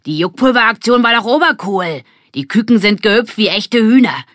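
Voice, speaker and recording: A woman's voice: fairly high pitch, expressive, normal speed, fairly bright tone, dry recording, studio quality, pitched above the performer's own voice. Woman as a cocky boy with lifted voice. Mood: gloating. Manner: brash, mocking. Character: cocky teasing boy in a rival gang.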